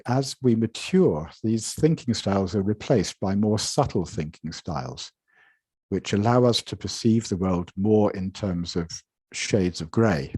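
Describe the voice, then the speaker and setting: A man with a very low, husky voice delivers a measured conference lecture from his slides. He often repeats and restarts words as he talks. The sound comes dry and close over a video call. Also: dark tone, no room sound. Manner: measured, with frequent repetitions and restarts. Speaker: conference presenter.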